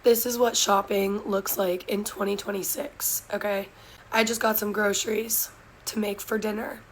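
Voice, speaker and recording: A hoarse woman who has lost her voice, chatting incredulously through a grocery haul. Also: mid-range voice, little pitch movement, moderate pace, slight background noise, low-quality recording.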